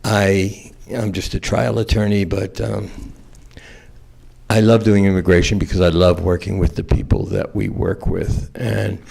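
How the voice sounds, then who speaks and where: Deep gravelly-voiced male attorney. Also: little pitch movement, measured pace, a little mellow. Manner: earnest, with fillers and self-corrections. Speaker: trial attorney. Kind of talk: panel remarks.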